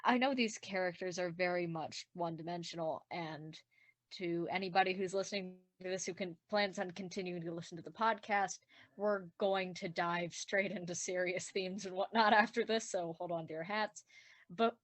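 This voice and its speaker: A woman's voice: fairly low pitch, fairly even intonation, normal speed, no room sound. Playful woman in casual podcast chat. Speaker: podcast panelist asking questions. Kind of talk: casual panel chat, post-show commentary.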